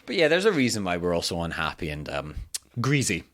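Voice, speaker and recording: Scottish comic in his thirties, low voice, lively swooping delivery, laughs and swears a lot. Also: fairly quick. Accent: Scottish, softened. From Scotland, East Lothian. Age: 30s. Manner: self-mocking, swears freely, laughs often. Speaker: podcast guest, comedian. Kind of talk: casual chat.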